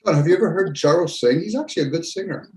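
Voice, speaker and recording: A man's voice: on the high side, animated, fast, a little mellow. Man, 50s, show host, casual rambling talker in a roomy space. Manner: rambling, reflective, jokey. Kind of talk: casual group chat. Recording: roomy with faint noise.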